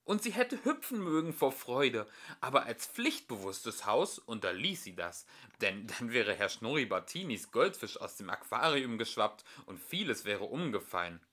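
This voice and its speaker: A man's voice: mid-range voice, sing-song, moderate pace, few pauses, sharp tone. A man narrating a children's storybook expressively, as an amateur audiobook reader.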